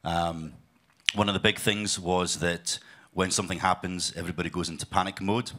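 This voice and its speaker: A man from the UK, speaking at a conference in a deep, low voice. His delivery is loose and unscripted, full of fillers, repeated phrases and restarts. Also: fairly even intonation, normal speed, a bit bright. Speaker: conference speaker, sales leader. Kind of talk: conference presentation.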